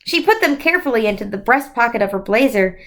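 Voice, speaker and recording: High-voiced woman reading a storybook. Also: expressive, quick pace, a bit bright. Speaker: storyteller reading aloud to children. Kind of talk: expressive read-aloud narration of a children's novel.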